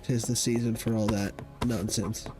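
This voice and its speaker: A man's voice: lowish voice, flat delivery, moderate pace, loud music behind the voice. A man chatting casually through a game stream, sniffly from hay fever and rambling as he plays. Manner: rambling, talks to himself while playing. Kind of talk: casual gameplay commentary.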